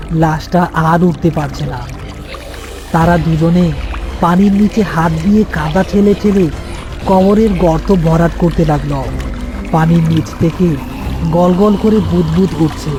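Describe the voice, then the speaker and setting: A man narrating a scripted horror cartoon story. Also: high-pitched.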